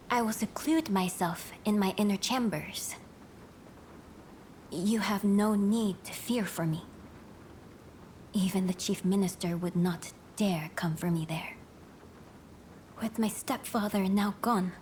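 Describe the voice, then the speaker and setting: An actress playing a composed, gracious young noblewoman in a game cutscene, speaking formally and softly in measured phrases. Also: medium pitch, slight background noise, close to an everyday voice. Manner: formal, measured, soft-spoken. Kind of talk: dramatic video game cutscene acting.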